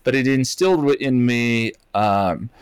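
A man's voice: mid-range voice, measured pace, smooth, dry recording, studio quality. A man in reflective casual conversation, searching for his words and speaking hesitantly about his own experience. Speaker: video channel creator.

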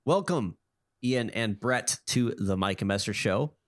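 A man hosting a podcast, reading a measured, scripted introduction and then introducing his guests, while he has a cold and sounds sniffly. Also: on the low side, no room sound, clean studio sound. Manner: measured, deliberate delivery. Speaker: podcast host.

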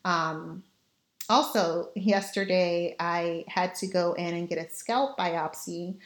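Young woman podcasting late at night, voice kinda raspy and tired, chatty, laughs at herself, roomy echo. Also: fairly low pitch, steady tone, takes it slowly. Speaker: podcast host. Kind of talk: casual solo podcast chat.

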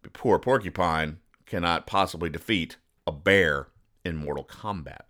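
A male podcast host with a fairly low, bright and forceful voice. He explains comic strips conversationally but with mock seriousness, wandering into pedantic digressions and acting out bits of dialogue. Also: moderate pace.

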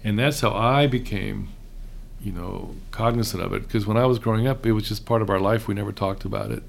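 A middle-aged man from Houston hosting a relaxed conversation, chatty with frequent hesitations. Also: lowish voice, fairly quick, vocal fry. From raised in Houston. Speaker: host, seminary professor. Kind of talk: casual conversation.

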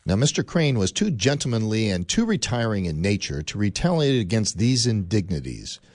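A man narrating a radio drama in a measured storytelling manner with dry wit. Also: lowish voice, sing-song, a little mellow, no room sound.

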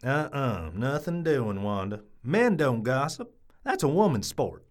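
A man's voice: mid-range voice, sing-song, unhurried. A man playing a cocky, boastful chauvinist in a comedic audio drama, smug and dismissive.

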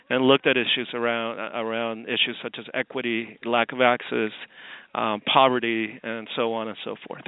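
A man from Chile speaks as a guest on a call-in radio show, thinking aloud with frequent ums and self-corrections. His voice is smooth and resonant. It comes through a tinny, dry phone line. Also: mid-range voice, no room sound. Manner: reflective, halting, many ums and restarts. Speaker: guest, artist and forum founder. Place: office.

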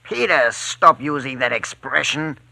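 Smug, imperious villain boss, very sharp forceful voice with creak, vintage radio drama. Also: male voice, medium pitch, lively intonation, unhurried, dry recording, full character voice. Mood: commanding, stern. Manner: imperious, precise. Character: commanding, cold, smug male boss of plotters. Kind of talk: old-time radio drama acting.

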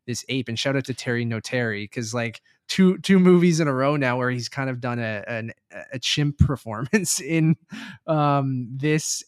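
A man co-hosts a casual film review in a very dry-sounding space. His voice sits at an average pitch. He rambles with enthusiasm, swearing as he circles around his points. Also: no echo. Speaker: podcast co-host reviewing films.

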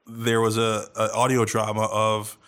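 A man's voice: on the low side, monotone, unhurried, a bit bright. Man with an American accent, rambling. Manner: rambling, with many restarts and fillers. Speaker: podcast guest. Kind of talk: casual chat.

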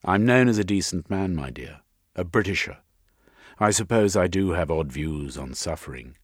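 Man acting an earnest, old-fashioned British gentleman, husky. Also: low-pitched, animated, normal speed, dry recording, studio quality. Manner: proper, old-fashioned upper-class phrasing. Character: earnest, decent British gentleman, remorseful and indignant. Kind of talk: audiobook character voice.

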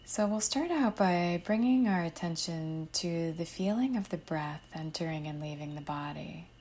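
A woman narrating a guided meditation in a soothing, unhurried, gently instructive manner, letting her phrase endings fall softly. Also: on the low side, slight background noise.

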